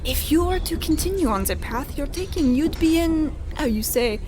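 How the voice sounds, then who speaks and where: A woman's voice: sits high, expressive, normal speed, a little mellow, slight background noise. A woman playing a coquettish femme fatale opera singer, in an old-time radio comedy style. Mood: eager, conspiratorial. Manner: coquettish, theatrical.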